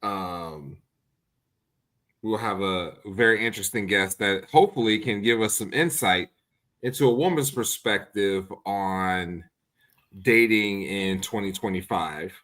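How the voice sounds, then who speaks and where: A man with a fairly low voice hosts a casual podcast. He talks in a relaxed way, with plenty of filler ums between his thank-yous. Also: measured pace. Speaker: podcast host. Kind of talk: casual podcast chat and sign-off.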